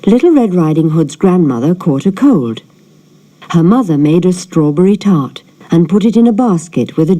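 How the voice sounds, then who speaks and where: Animated, mellow woman reading a fairy tale. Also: fairly low pitch. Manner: animated storytelling. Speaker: storybook reader. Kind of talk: expressive children's fairy tale narration.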